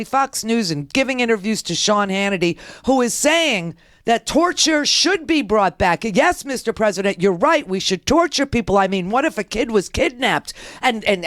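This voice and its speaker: A woman's voice: fairly high pitch, dry recording, clean studio sound. A woman hosting a political talk radio show, sarcastic and combative, heckling the clips she plays.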